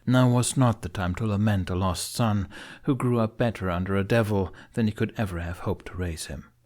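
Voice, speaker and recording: A man's voice: fairly low pitch, moderate pace, a little mellow. A man narrating an audiobook as dramatic, scripted storytelling.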